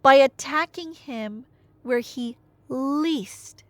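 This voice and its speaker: A young woman retelling a tale as a chatty, theatrical storyteller, with emphatic delivery, dry humor and dramatic pauses. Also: sits high, no room sound. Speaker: audio storyteller playing a nerdy friend. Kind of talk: casual dramatic retelling of myth, as ASMR roleplay.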